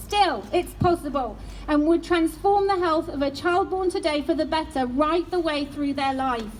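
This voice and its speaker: Woman, high voice, earnest rally speaker outdoors, light background noise. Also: darkish tone. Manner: earnest, slows down for emphasis. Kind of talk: rally speech.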